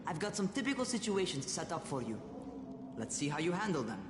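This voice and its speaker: An actor voicing a cocky young survivor who coaches in a video game, brash and slangy. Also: male voice, a little high, very animated, brisk, recorded from a distance, background noise. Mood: cocky, coaching. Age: young adult. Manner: brash, slangy, barking instructions. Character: cocky young male survivor parkour trainer, teasing. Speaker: parkour trainer character in a video game. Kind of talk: video game dialogue acting.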